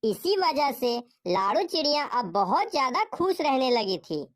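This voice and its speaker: Female narrator for a cartoon fable: high-pitched, lively rise and fall, scripted storytelling. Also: unhurried.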